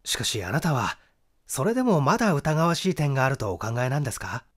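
A man's voice: mid-range voice, very animated, fast talker, breathy edge. A man voicing a firm, sceptical man who politely but assertively argues a suspect's guilt in an audiobook mystery story.

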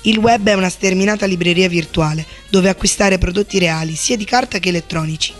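High-voiced man narrating a news report. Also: fairly bright tone, soft background music. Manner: measured, questioning delivery. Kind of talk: scripted news voice-over.

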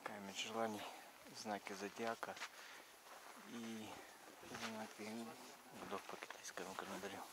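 A man's voice: lowish voice, little pitch movement, a little slow. A man giving casual on-the-spot commentary in short, repetitive remarks, filming outdoors as a travel vlogger. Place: outdoors in a snowy park. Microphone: handheld camera microphone. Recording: very noisy outdoor camera recording.

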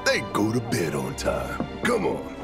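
An actor with an American accent playing a big, gruff, doting and fiercely protective father in a video game. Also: unhurried, over loud music, full character voice. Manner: booming, doting, animated.

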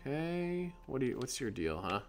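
Low gravelly guy doing gameplay commentary, voice bounces around a lot, faint game music behind. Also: very animated, normal speed. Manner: chatty, muses aloud while reading on-screen text. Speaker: let's play host. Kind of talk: gaming commentary.

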